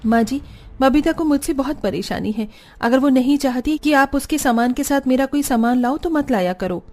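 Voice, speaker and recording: A woman voicing a patient, reasonable woman of a family in a cartoon story, measured and gently reproving. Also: high-pitched, close to an everyday voice. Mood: patient, reasoning. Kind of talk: Hindi cartoon story dialogue.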